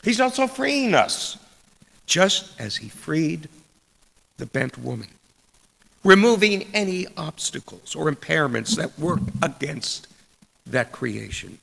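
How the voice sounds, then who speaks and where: A man reads a scripted sermon aloud as a preacher, in a deliberate, measured way, pausing between short phrases in a large church. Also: fairly high pitch, sing-song, creaky, fairly bright tone, husky. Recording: long church reverb.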